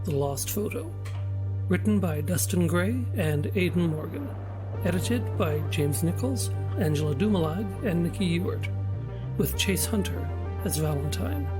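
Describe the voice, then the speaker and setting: A man reading scripted end credits as the announcer of an audio show. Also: high-pitched. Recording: loud music under the voice. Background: loud music.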